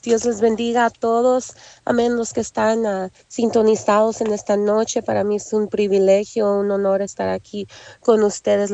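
A woman preaching on a phone call, her voice hoarse and gravelly. She speaks humbly, pausing on soft fillers and saying amen often. The tone sits dark and dry. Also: mid-range voice, no room sound. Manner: humble, many "am" fillers, frequent amens. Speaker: guest preacher.

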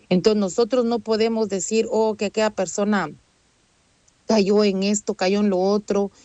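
A woman's voice: mid-range voice, mellow, no echo. A woman preaching a sermon in an earnest, conversational way, often adding "amén" and "¿verdad?". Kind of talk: Spanish-language sermon.

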